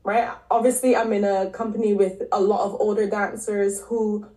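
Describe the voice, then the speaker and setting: Woman, from Barbados, health coach, podcast guest, casual storytelling, mid pitch, roomy echo. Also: flat delivery, normal speed, warm tone. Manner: storytelling, many ums and likes. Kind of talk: casual interview talk. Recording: echoey room.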